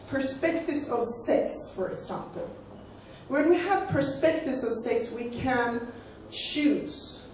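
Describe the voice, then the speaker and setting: A Swedish-accented woman in her forties gives a casual lecture, doubling back on words as she looks for the right one in English. Her voice sits fairly high. It was recorded from well back in a very echoey hall. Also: darkish tone, distant mic, a little hiss or hum. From Sweden. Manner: conversational, repeats words while searching for them. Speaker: sex educator. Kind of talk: live lecture to an audience.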